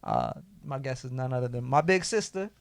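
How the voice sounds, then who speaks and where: A man hosting a casual podcast, hoarse from losing his voice and talking in a laid-back, slangy way. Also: mid-range voice, wildly swooping pitch, brisk, no room sound. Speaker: podcast host. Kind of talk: casual chat.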